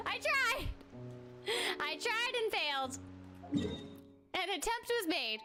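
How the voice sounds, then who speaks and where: A woman's voice: very high-pitched, sing-song, takes it slowly. A woman chatting casually with viewers on a game stream, self-deprecating and full of fillers. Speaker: streamer and voice actor. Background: game music.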